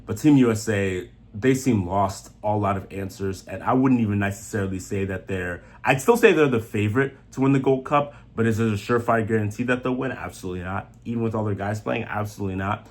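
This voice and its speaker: Male sports commentator, slightly deep and raspy, unscripted, opinionated, emphatic with repeated phrases. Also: fairly quick, hardly any pauses. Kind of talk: opinionated off-the-cuff soccer commentary.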